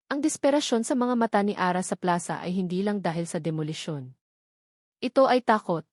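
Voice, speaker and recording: A woman's voice: on the low side, animated, fairly quick, no echo, clean studio sound. A woman with a Filipino accent narrating a dramatic love story from a script.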